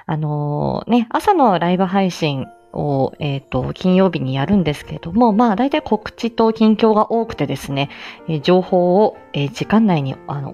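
A woman's voice: fairly low pitch, dark tone, muffled recording. A woman hosting a casual solo livestream chat, rambling along in a self-effacing, giggly manner. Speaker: livestream host, speech-language therapist.

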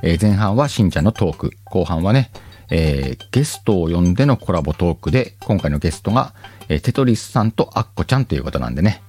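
A man's voice: low voice, big pitch swings, fast, a little mellow, soft background music. Chatty man reading letters. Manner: chatty, laughs easily, comments aloud on letters as he reads them. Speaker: podcast host reading listener letters. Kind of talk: casual chat. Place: home recording.

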